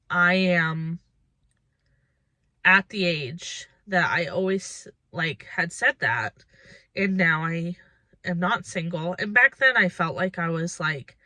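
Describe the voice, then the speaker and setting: A woman's voice: on the low side, sharp tone, dry recording. A woman of about thirty chatting casually and reflectively to her viewers as a lifestyle vlogger, informal and thinking aloud.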